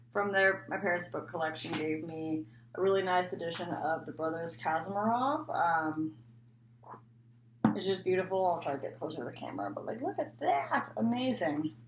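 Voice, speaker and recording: A woman's voice: on the low side, lively intonation, unhurried. A woman talking casually and unscripted as a book vlogger, rambling and often interrupting herself. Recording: echoey room, faint background noise.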